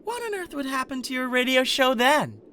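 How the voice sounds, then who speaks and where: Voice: sits high, big pitch swings, normal speed, pushed and bright, slight background noise, heavily acted. A performer playing a cocky, protective male king of Hell in an ASMR roleplay, sarcastic and defiant. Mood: smug sarcasm.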